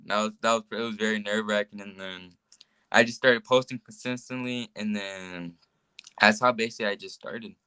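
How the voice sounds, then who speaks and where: Teen boy chatting casually, lowish bright voice, rambly, says like a ton, dry sound. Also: steady tone, normal speed, smooth, no echo. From United States. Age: 14, teenage boy. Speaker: guest, young social media influencer. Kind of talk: casual chat, telling personal stories.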